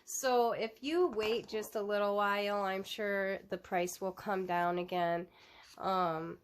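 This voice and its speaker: A woman with a stuffy nose from a cold talks slowly through a coloring book flip-through. She chats casually and unhurriedly, often pausing on "um" and starting a phrase over. Her voice sits at an ordinary middle pitch. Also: fairly even intonation, a little hiss or hum. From United States. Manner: chatty, with frequent ums and restarts.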